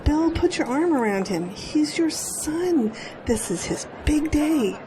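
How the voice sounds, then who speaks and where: A woman acts as a fussy, doting middle-aged mother in a radio play. Her voice is high and swoopy, taken slowly, over a faint crowd. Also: animated, warm tone, a little hiss or hum, rough recording. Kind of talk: audio drama acting.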